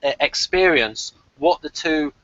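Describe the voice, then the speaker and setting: A man with a high, bright, forceful voice and lively swings of pitch co-hosts a casual review chat. He argues his case with enthusiasm and puts questions to the others. The sound is dry and close. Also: measured pace, dry recording, highs cut off. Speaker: podcast co-host leading questions.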